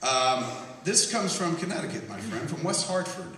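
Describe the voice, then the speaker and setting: A man's voice: on the high side, animated, normal speed, a bit bright, slight background noise. A man hosting a live comedy podcast, reading news stories aloud and riffing on them with profane, mocking voices. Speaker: podcast host. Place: campus stage before a live audience. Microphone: hand-held camera recording from the audience. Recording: echoey hall, camera from far off. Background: laughing crowd.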